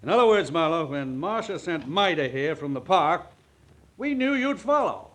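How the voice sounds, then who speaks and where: Smug crooked killer in an old 40s radio crime show, voice a bit high, really swings around. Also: male voice, big pitch swings, moderate pace, a bit bright. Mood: smug, explaining. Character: scheming, smug male murderer, crooked business partner. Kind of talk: 1940s radio crime drama acting.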